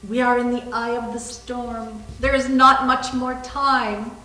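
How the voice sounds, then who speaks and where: A woman's voice: fairly high pitch, little pitch movement, a little slow, pushed and bright. A woman playing an anxious, inward, lonely young woman who voices her thoughts in a readers theater. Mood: dread. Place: reverberant room at a library reading. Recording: echoey, far from mic.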